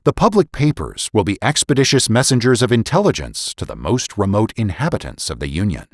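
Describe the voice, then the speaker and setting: Male narrator, lowish pitch, highly animated intonation; formal, emphatic dramatic reading of period political prose. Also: dry recording, clean studio sound. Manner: formal, deliberate, emphatic oratorical delivery. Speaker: podcast host and narrator.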